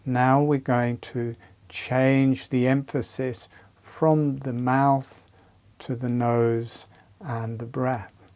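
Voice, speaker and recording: Very slow, mellow man guiding relaxation. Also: mid-range voice. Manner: calm, soothing, short phrases with long pauses. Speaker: breathing and relaxation instructor. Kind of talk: guided relaxation exercise instruction.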